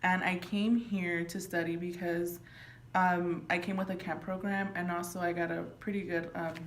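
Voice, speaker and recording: A woman's voice: lowish voice, little pitch movement, moderate pace. A young woman from Florida, a college student, reflecting in an interview with frequent ums. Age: young adult. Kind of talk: casual interview answers. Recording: echoey room with faint noise.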